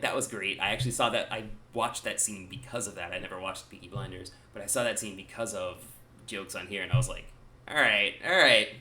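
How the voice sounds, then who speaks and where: A man's voice: fairly low pitch, bright, forceful tone, a little hiss or hum. A man, a gaming channel host, talking casually to his viewers in a rambling, wry way with self-deprecating asides. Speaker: gaming YouTuber.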